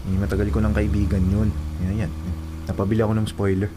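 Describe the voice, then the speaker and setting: A man's voice: on the low side, level tone, quick pace, background noise, lightly acted. A man with a Filipino accent playing a placating, evasive young boyfriend in a radio drama, hesitant and apologetic. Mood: nervous.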